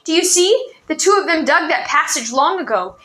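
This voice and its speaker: A woman voicing a brave, frantic boy who rushes out his story urgently in a storybook reading. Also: high voice, huge pitch swings, gravelly, bright, forceful tone, heavily acted.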